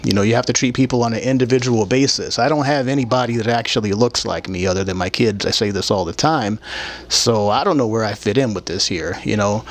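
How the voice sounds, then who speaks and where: A man's voice: on the low side, a little fast. A man in his thirties hosting his own show, delivering a rambling, blunt and aggrieved monologue with profanity into a desktop microphone in a small room. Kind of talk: rambling opinionated monologue to camera. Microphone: desktop microphone, later held in hand. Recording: clear background noise, room ambience.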